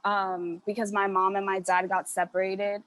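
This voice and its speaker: A woman's voice: mid-range voice, little pitch movement, moderate pace, fairly bright tone, dry recording, low-quality recording. A woman from New York speaking candidly on a video-call panel, emphatic and casual, with the odd swear word. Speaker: panelist artist.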